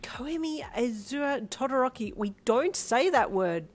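A woman voicing an affectionate young mother in a story, teasing and gently scolding. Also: fairly high pitch, expressive, normal speed, soft background music, voice pushed up. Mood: chiding.

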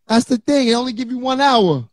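A man's voice: very high-pitched, animated, fairly quick, dry recording, studio quality. Laid-back man hosting a live interview. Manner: joking, laid-back banter. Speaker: host. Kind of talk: casual live-stream interview. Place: small home studio indoors. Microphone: handheld studio mic on a stand.

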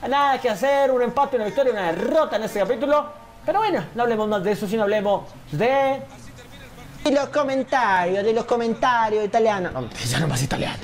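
A young man giving casual, animated commentary on his football video game and reading viewer comments, often calling his audience "señores". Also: very high-pitched, brisk. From Colombia. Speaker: gaming YouTuber.

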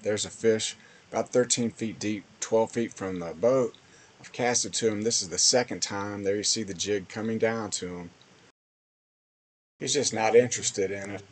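Low-pitched man narrating fishing footage. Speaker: fishing channel host. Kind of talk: casual voice-over commentary on fishing footage.

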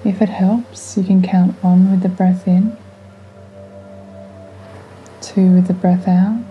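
Woman, meditation guide, lowish voice, gentle and soothing, unhurried, relaxing music underneath. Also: flat delivery, dull audio.

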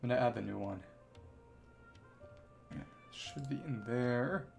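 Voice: medium pitch, slow pace, vocal fry, a little mellow. A game streamer chatting casually with viewers and reading game dialogue in clipped, musing fragments. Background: game music.

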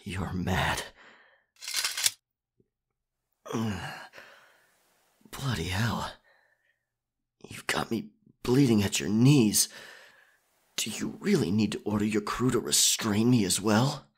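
Man playing a captured pirate captain, ASMR roleplay, deep, unhurried, defiant, flirtatious, wry. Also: expressive, breathy edge. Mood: pained, defiant. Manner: wry, halting, theatrical pirate talk. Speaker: audio roleplay performer. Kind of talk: ASMR roleplay acting, one-sided dialogue.

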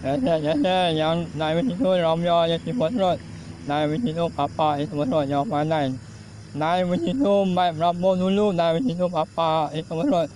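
A man's voice: fairly high pitch, darkish tone, a little hiss or hum, poor audio. A man acting as an amateur radio operator, trading call signs and signal reports politely. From Malaysia. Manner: brisk call-sign exchanges, polite "yes sir". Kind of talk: ham radio satellite contact.

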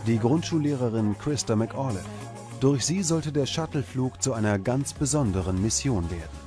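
A man reading scripted documentary narration in a measured, dramatic manner. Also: mid-range voice, expressive, mellow. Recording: music under the voice. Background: background music.

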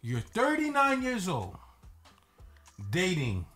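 Animated man riffing on a radio show. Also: high-pitched, slow, faint music behind. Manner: animated, emphatic, drags words for effect. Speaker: co-host. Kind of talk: casual talk show chat. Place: small radio studio. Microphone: studio mic on a boom arm.

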